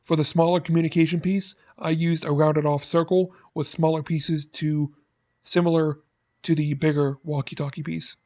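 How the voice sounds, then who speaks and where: A man's voice: on the high side, little pitch movement, normal speed, warm tone. A man narrating a scripted how-to tutorial as its host, in a plain, instructional manner. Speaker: host of prop-making tutorial.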